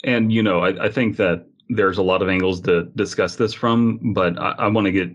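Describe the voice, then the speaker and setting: A man's voice: sits low, steady tone, normal speed, muffled recording. A man hosting a casual panel podcast, inquisitive, summing up and putting questions to the others. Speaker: podcast host.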